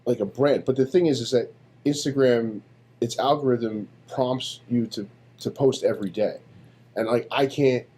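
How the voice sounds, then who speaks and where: Rambling, halting podcast man. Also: medium pitch, dark tone, slightly rough. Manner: rambling, halting restarts, laughs easily. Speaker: podcast co-host. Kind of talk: casual chat.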